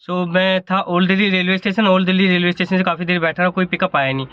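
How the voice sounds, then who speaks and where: A man's voice: high voice, fairly even intonation, fast talker, clean tone, dry recording, lo-fi sound. A man, a ride-hailing driver, vlogs casually from his car and a fuel station, rambling and correcting himself, with a slightly sore throat. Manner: chatty, rambling with self-corrections. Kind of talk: casual vlog narration.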